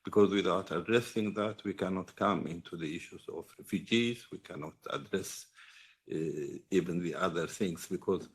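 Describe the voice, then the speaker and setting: A man from Sweden making an earnest, halting impromptu comment at a political roundtable. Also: deep voice, slightly rough. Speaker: audience commenter, activist and medical doctor.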